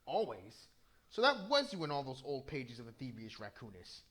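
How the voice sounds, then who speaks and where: A man's voice: medium pitch, wildly swooping pitch, normal speed, a bit bright. Guy doing a cool sarcastic raccoon thief, young game hero, dry and easygoing delivery. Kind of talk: video game hero fan voice-over.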